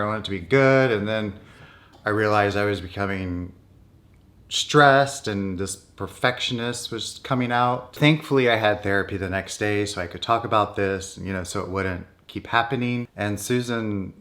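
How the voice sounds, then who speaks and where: A man with a North American accent talks in a fairly low voice. He speaks casually and candidly, as a vlogger chatting to his viewers. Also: fairly bright tone. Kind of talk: casual personal vlog talk.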